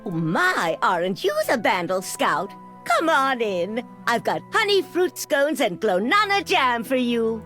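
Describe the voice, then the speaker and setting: Game role: sweet, whimsical little female creature, very high animated voice, motherly and fond. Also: a little slow, soft background music. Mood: welcoming. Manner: affectionate, cooing, whimsical. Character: kindly, motherly, cheerful female yordle, a small magical creature. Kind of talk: video game champion voice lines.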